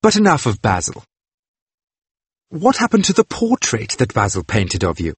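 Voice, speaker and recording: A man's voice: wildly swooping pitch, normal speed, husky, no echo, exaggerated voice. A worldly, mocking nobleman, as a man puts him on for an audiobook, his voice lifted well above a plain man's register and swooping freely. There is a faint husk to it, and the sound is close and dry. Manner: airy, mocking, pitch swooping widely. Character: witty, languid aristocratic man, amused and teasing. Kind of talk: audiobook character voice.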